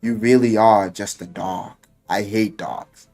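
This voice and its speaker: A man's voice: lowish voice, fairly even intonation, a little slow, a little mellow, soft background music. A man voicing an unbothered, contemptuous young male hero from a game, cool and dismissive in slangy speech. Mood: cold contempt.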